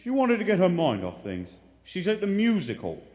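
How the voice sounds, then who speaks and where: A man's voice: high voice, wildly swooping pitch, normal speed, darkish tone. A man playing a bewildered, affectionate husband in a live radio play, dramatic and tender. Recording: reverberant live theater.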